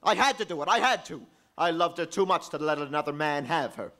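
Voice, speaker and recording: A man performs a jealous, cornered killer in a radio melodrama. The voice is high for a man and leaps around in pitch. The delivery is overwrought, desperate and defiant. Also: animated, fairly quick, pushed and bright. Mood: bitter, defiant. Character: desperate, jealous male murderer, defiant and unraveling. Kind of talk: old-time radio melodrama acting.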